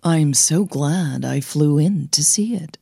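A woman voicing a composed, caring singing coach in an audio drama, reassuring and gently teasing as she probes. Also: low-pitched, slow pace, vocal fry, husky, no room sound, clean studio sound, exaggerated voice. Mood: caring, concerned.

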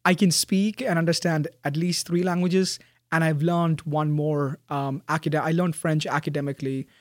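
A young man, a graduating student, answering interview questions in a thoughtful, soft-spoken way with frequent fillers and restarts. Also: a little high, fairly even intonation, normal speed, dry recording, studio quality. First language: Malayalam. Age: young adult.

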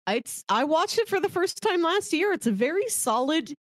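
A woman's voice: extremely high pitch, very animated, moderate pace, no room sound, clean studio sound. A woman co-hosting a casual film podcast, playfully recapping movies and acting out bits of paraphrased dialogue in an informal way. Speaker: podcast co-host pitching movies.

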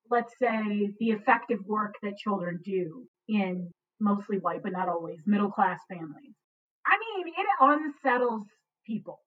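A woman's voice: a little high, far from the mic, a little hiss or hum. A woman in her forties, an adoptee and writer, speaking earnestly in a panel conversation and often adding "you know" and "right". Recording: tinny phone-like line.

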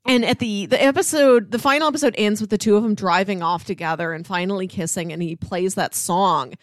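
Casting: female podcast co-host, medium pitch, casual conversational TV chat, very dry recording. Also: brisk, no echo.

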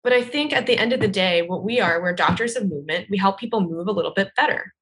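A woman's voice: mid-range voice, animated, fast talker, a bit bright. An American woman giving a conversational interview answer in an articulate, earnest and emphatic manner. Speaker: physical therapy clinician.

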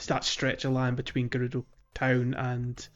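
A man's voice: medium pitch, completely flat delivery, normal speed, lo-fi sound. A man hosting a casual gaming podcast, chatty and steering the conversation. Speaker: podcast host.

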